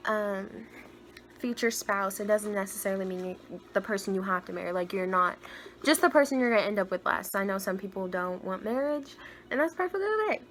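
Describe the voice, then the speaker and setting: A young woman with a naturally higher voice reads tarot cards in a relaxed, chatty way. She gushes over what she finds and drops to soft murmurs when she sets her intention. A faint hiss sits under the close recording. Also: poor audio. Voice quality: higher-pitched voice. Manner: chatty and gushing, trails off with soft murmured asides. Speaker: tarot reader. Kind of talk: casual tarot reading.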